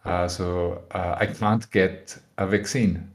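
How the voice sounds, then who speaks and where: A man's voice: deep voice, steady tone, slow. A young adult man from Austria, talking frankly as a guest on a podcast and often searching for his words. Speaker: guest musician. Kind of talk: casual podcast interview.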